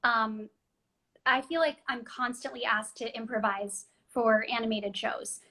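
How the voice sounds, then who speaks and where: A woman's voice: on the high side, fairly even intonation, a little slow, pushed and bright. A young adult woman chatting in an interview about voice acting, talking freely with many ums and restarts. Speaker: voice actress guest. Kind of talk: casual interview chat.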